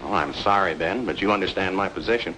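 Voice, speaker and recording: A man's voice: deep voice, fast talker, very noisy, lightly acted. An actor playing a haughty older committee chairman, formal and curt, in an old-time radio drama. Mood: apologetic.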